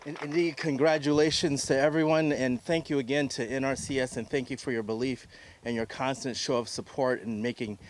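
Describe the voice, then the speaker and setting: A man's voice: medium pitch, fairly even intonation, fairly quick, a little hiss or hum, low-quality recording. Man hosting a press conference. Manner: formal, thanking speakers and guests. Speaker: host, agency CEO.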